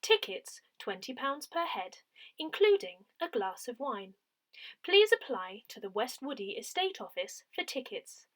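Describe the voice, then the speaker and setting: A woman reading a scripted parish newsletter aloud in a deliberate, clearly phrased announcing manner. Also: fairly high pitch, sing-song, a bit bright. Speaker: newsletter reader.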